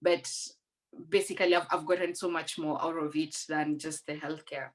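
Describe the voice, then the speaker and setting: A woman's voice: low voice, flat delivery, moderate pace. Young woman guest, earnest and conversational. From Rwanda, raised in Kenya. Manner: earnest, frequent restarts. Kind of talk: conversational interview.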